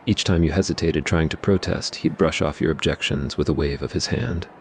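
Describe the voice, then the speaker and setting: Very deep-voiced male story narrator. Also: brisk, slight background noise. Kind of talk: scripted ASMR story narration.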